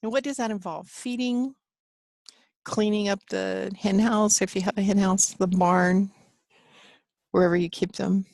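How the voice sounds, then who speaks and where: A woman's voice: mid-range voice, steady tone, a little slow, vocal fry, long pauses, darkish tone, no room sound. A woman teaching a live online class from her home office, chatting with students and reading their answers aloud in an encouraging way. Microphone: computer mic on a video call.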